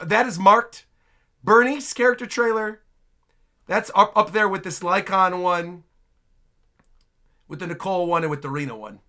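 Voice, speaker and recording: A host giving casual reaction commentary on game trailers in an excitable, exclamatory way, often repeating phrases. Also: medium pitch.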